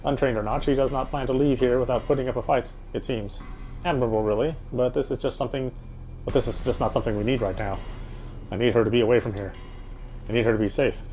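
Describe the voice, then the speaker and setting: A man's voice: mid-range voice, steady tone, fast talker, darkish tone. A man reading a horror visual novel aloud as first-person narrator, with a dramatic, tense delivery.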